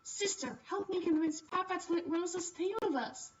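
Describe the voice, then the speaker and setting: Woman putting on an eager, high-pitched little girl. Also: normal speed, distant mic, dull audio. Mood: excited. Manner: excitable, insistent, childlike. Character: eager pleading little girl, cheeky and stubborn.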